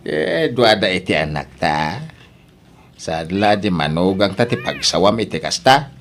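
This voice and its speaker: A man's voice: low voice, big pitch swings, a little slow, a little hiss or hum, exaggerated voice. A man acting a practical, plain-spoken older husband and father in an Ilocano radio drama.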